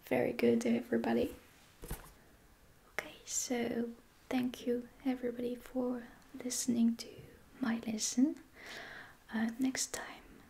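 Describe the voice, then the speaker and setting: A French woman, a native French speaker with a French accent, softly whispering a patient ASMR roleplay lesson as a French teacher. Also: a little high, creaky. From France. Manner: soft whispering, patient repetition of phrases.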